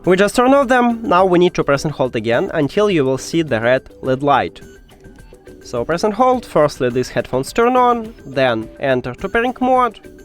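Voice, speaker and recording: A man narrating a step-by-step tech tutorial in informal, slightly non-native English phrasing, pausing hesitantly as he explains. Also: on the high side, sing-song, soft background music.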